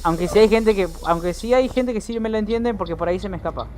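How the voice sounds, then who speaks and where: A man's voice: high-pitched, fast talker, background noise. Argentine man streaming a bus game. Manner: casual, chatty, swears, answers chat. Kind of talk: live gameplay commentary.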